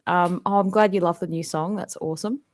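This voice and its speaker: A woman's voice: lowish voice, steady tone, fairly quick. A woman from Australia with a Western Australian accent, a musician chatting casually with fans on a live stream from home at her computer microphone, rambling with lots of ums and frequent laughs. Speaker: musician hosting a live fan Q&A. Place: home, indoors. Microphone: desktop microphone at a computer.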